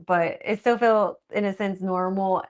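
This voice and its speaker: A woman with a soft voice, a guest actress chatting casually and laughing often. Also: mid-range voice, fairly even intonation, a little slow, clean tone. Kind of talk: casual interview chat.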